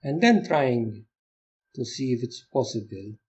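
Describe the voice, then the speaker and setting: A man leading a guided meditation as a teacher, giving gentle instructions in short phrases with pauses. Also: medium pitch, expressive, slightly rough, dull audio.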